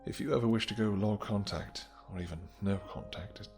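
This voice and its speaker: A man playing a tender, regal vampire king in a romantic fantasy audio roleplay, speaking softly and courteously with a halting delivery. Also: on the low side, soft background music, natural voice. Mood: gentle, earnest. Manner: soft, courtly, hesitant, halting phrasing. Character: tender male vampire king, winged, regal and devoted lover.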